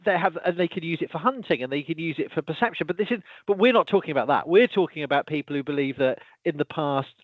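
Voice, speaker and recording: A man hosting a casual conversation, who talks in an animated way, breaks off and restarts often, and slips in exasperated asides. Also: fairly high pitch, fast talker, hardly any pauses, no echo, phone-quality sound. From lives in Wales. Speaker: podcast host, archaeology professor.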